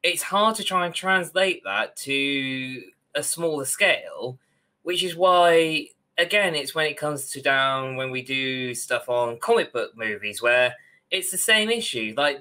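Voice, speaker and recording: A man's voice: a little high, bright, forceful tone. A young man co-hosting a podcast, giving his opinions in casual discussion over a video call. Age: young adult. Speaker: podcast co-host. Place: small room at home, on a video call.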